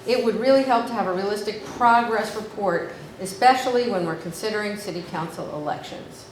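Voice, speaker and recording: Woman moderator, deliberate read of a prepared question, roomy echo. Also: mid-range voice, a little hiss or hum. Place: community meeting room. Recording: echoey room.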